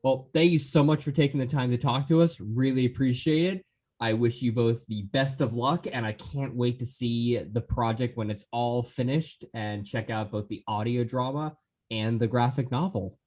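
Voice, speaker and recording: A man's voice: medium pitch, moderate pace, darkish tone. A man hosting a podcast, chatting casually and enthusiastically as he interviews his guests. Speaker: podcast host.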